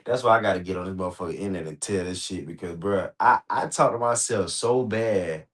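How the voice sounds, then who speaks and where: An American man talking casually on a livestream, animated and slangy, swearing often and repeating himself for emphasis. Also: low-pitched, a little fast. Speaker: host reacting on a livestream. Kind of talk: casual rambling livestream talk.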